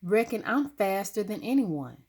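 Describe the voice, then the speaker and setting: A woman's voice: mid-range voice, sing-song, unhurried, a little mellow, slightly rough, pitched above the performer's own voice, exaggerated voice. A woman voicing a boastful young girl rival in a children's storybook, with a showy, taunting manner. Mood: challenging. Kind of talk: children's storybook read-aloud.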